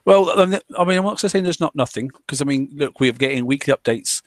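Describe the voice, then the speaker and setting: A man's voice: on the high side, fast talker, no echo, clean studio sound. A man hosting a casual discussion, rambling along with lots of fillers and restarts. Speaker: podcast host.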